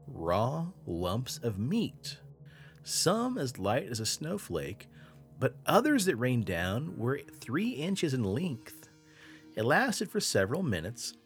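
A man hosting a podcast, telling strange stories in a casual, animated way and laughing often. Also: mid-range voice. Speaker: podcast host. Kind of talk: casual storytelling podcast chat.

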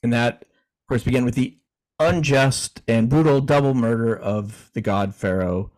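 A man co-hosting a podcast in a relaxed, chatty way, reading definitions aloud and chuckling now and then. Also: medium pitch, unhurried, poor audio. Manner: easygoing, chuckling, frequent restarts. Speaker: podcast co-host.

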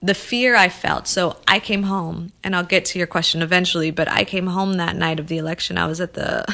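Impassioned woman with a gravelly edge. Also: lowish voice, steady tone, a little fast, sharp tone. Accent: Los Angeles American English. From Colombia. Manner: impassioned, restarts phrases, builds to emphatic peaks. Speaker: immigrant rights advocate. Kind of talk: earnest conversational interview.

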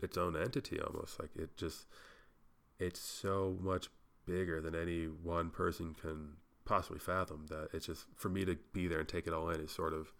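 A man's voice: sits low, flat delivery, moderate pace, fairly bright tone. A young man hosting a solo podcast, rambling casually and swearing, sounding a little congested. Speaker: podcast host.

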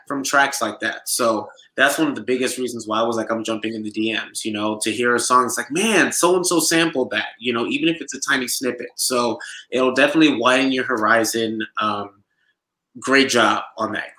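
Male regular, casual and upbeat, bright forceful tone, echoey room. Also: medium pitch, normal speed. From United States, Nigerian heritage. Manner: laid-back, filler-heavy, enthusiastic. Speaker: supporter group DJ and show regular. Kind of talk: casual podcast chat.

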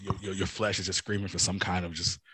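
A man with a hoarse, raspy voice from a cold, giving a rambling testimony to a church congregation with many restarts. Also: low voice, flat delivery, fast talker, a bit bright, no echo.

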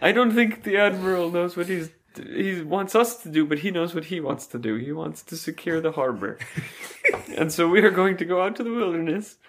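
A performer playing a pompous ancient Egyptian librarian and general with a mock Italian-sounding accent and broken, slow, repetitive grammar. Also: medium pitch, animated, lightly acted. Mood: bossy. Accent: mock Italian-sounding Middle Eastern accent. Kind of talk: improv comedy.

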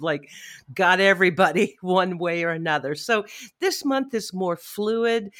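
A woman's voice: on the low side, a bit bright. Chatty woman podcast host with a head cold. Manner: chatty, upbeat, laughs often. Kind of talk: casual astrology chat.

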